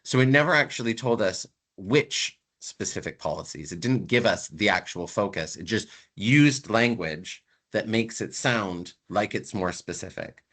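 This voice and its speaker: Bright-toned, low-voiced Canadian-raised man lecturing. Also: lively intonation, a little slow, hardly any pauses. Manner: conversational, emphatic, repeats words for stress. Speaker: university lecturer. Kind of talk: online lecture to students.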